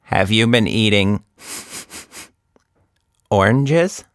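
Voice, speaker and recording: A man's voice: lowish voice, expressive, extremely slow, clear, resonant tone, no echo, studio quality, heavily acted. A man voicing a chatty, fussy monkey who rambles through opinions in a children's story.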